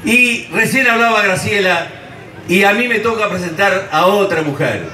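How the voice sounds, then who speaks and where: A man's voice: high voice, lively intonation, normal speed, a little hiss or hum. A man with a Uruguayan accent making a rousing, proclaiming introduction as presenter at a political rally. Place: outdoor rally stage. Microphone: PA microphone, filmed from afar. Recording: distant echoing PA, loud crowd, music. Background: loud crowd and music.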